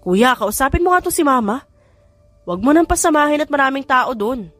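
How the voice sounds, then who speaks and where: A woman's voice: high voice, lively intonation, normal speed, a bit bright, dry recording, faint music behind, pitched above the performer's own voice. A woman voicing a lovestruck young man in an audiobook, a playful and whiny son and suitor. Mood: complaining. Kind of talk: audiobook character dialogue.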